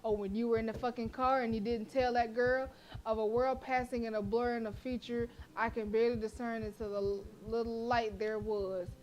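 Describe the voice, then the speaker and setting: A woman's voice: on the high side, flat delivery, brisk, a little mellow, lo-fi sound, a little hiss or hum. A woman streamer who reads a horror visual novel aloud in a dramatic way and breaks off for profane, joking asides.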